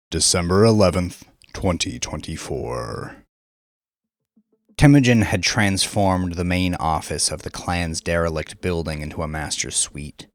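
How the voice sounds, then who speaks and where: A man with a very low voice narrates a dark crime story over music. His phrasing is measured and deliberate, with dramatic, drawn-out line endings.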